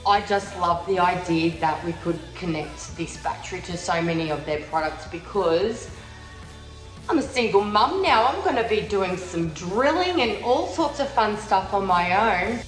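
A woman's voice: fairly low pitch, measured pace. A young Australian woman chatting through a product review vlog at home, bubbly and cheeky, sometimes breaking into little sung bits as she walks around her kitchen. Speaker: vlogger. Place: large modern kitchen and living room indoors. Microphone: phone camera on the bench, moving around the room. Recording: roomy echo with faint music.

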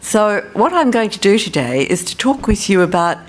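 A woman with an Australian accent giving a conference presentation as a professor, relaxed and self-deprecating, thinking aloud with fillers. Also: medium pitch, normal speed.

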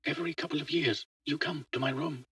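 A man's voice: a little high, wildly swooping pitch, a little fast, gravelly, fairly bright tone, husky, dry recording, distant mic, voice pushed up, heavily acted. A man voicing a fearless, chatty eleven-year-old boy who talks simply and matter-of-factly in a story. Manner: simple, childlike, matter-of-fact. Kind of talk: audio short story dialogue.

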